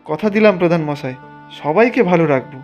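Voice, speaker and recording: A man's voice: a little high, expressive, fast, muffled recording, soft background music, lightly acted. A man voicing an ambitious, greedy village official in a cartoon, talking in a persuasive, insistent way. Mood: subdued, remorseful. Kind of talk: Bengali cartoon dialogue.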